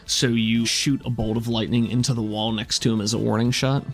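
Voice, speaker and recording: A man's voice: medium pitch, steady tone, fairly quick. A man narrating a tabletop roleplaying game as game master, describing scenes and calling for rolls. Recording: music behind the voice. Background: background music.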